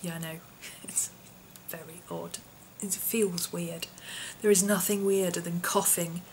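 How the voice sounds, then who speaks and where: Woman vlogging, voice hoarse n husky from being ill, kinda low, lots of ums, a bit of faint background noise. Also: fairly even intonation, a little slow, poor audio. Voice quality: husky, often whispery. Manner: rambling, lots of ums, small laughs. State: hoarse, voice partly lost from infection. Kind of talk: casual chatty vlog.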